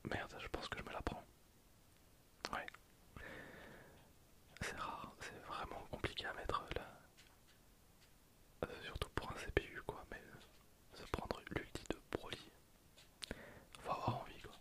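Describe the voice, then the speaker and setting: A man whispering casual, breathy ASMR commentary over gameplay in an informal way. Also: measured pace, long pauses, pushed and bright. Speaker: gaming video creator.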